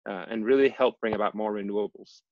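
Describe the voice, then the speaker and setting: A man in his thirties from Denmark giving a casual, self-deprecating talk over a video call, often pausing with ums. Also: on the low side, dry recording. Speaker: guest presenter, engineering professor. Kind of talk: casual lecture. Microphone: computer mic on a video call. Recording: dull, lo-fi video call audio.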